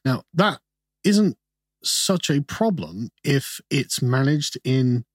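Male commentator, husky timbre, measured explanatory delivery, close dry studio sound. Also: medium pitch, lively intonation, no room sound. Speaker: guest commentator. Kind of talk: podcast interview.

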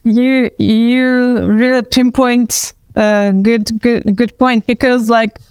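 A woman's voice: a little high, smooth, no echo. A woman in her thirties who speaks English with a Turkish accent, a panelist and event organizer talking casually, rambling with many fillers and easy laughter.

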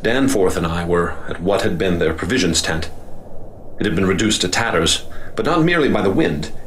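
A middle-aged man plays a professor recounting an expedition in a radio drama. His delivery is deep, slow and grave. A faint hiss sits under the recording. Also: animated, fairly bright tone. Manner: measured, grave storytelling. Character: male professor leading an expedition, grave and measured. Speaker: narrator. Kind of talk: first-person radio drama narration.

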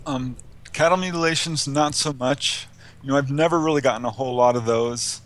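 A man's voice: medium pitch, a little fast, fairly bright tone. A man answering interview questions as a researcher, in a hesitant, conversational way. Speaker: interview guest, UFO researcher.

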